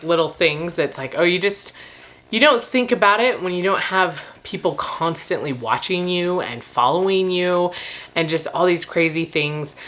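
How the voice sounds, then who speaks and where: A woman with a slightly rough, recovering voice, rambling casually and informally to camera as she reviews books. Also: fairly low pitch, fairly bright tone. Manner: informal, laughs often while talking. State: slightly rough, gravelly voice after losing it. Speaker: book review vlogger.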